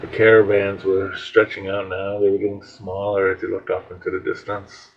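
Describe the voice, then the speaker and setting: A man telling a biblical story in an improvised, conversational way, halting and rambling as he reflects. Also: low voice, completely flat delivery. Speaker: storyteller, podcast host. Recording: dull lo-fi audio with background noise.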